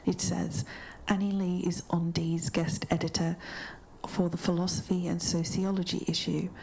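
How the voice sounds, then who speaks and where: A woman with an Australian accent whispering podcast narration in a quiet library. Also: lowish voice, flat delivery, normal speed, creaky, a little hiss or hum, poor audio. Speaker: podcast co-host.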